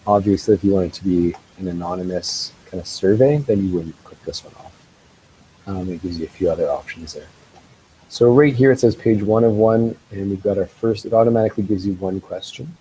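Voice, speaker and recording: Congested male tech trainer, lowish dark voice, easygoing tutorial delivery, faint hiss. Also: brisk, poor audio. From Canada. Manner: relaxed, explanatory, with ums and uhs. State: congested from a head cold. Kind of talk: screen-share software tutorial.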